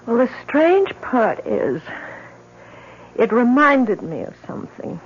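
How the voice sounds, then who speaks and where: A woman's voice: fairly high pitch, sing-song, slow pace, breathy edge, heavily acted. A woman acting in an old-time radio drama as a frightened, haunted young daughter. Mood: reflective, relieved. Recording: dull old radio recording, faint noise.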